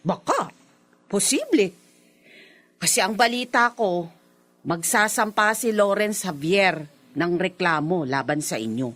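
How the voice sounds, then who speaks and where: A woman's voice: medium pitch, lively intonation, unhurried, pauses often, fairly bright tone. An actress playing a courteous, firm female village captain in a Filipino radio drama. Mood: firm, explaining. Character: polite, firm village captain confronting a neighbour.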